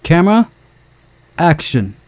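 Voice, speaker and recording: A man voicing a stern, bossy woman film director in a visual novel, brisk and commanding. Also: fairly high pitch, sing-song, a little mellow, no room sound, voice pushed up.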